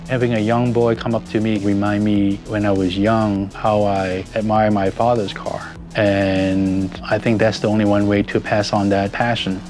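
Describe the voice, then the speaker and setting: Low-voiced man with a Hong Kong accent. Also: darkish tone. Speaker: car enthusiast telling his story. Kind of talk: reflective documentary interview narration. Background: background music.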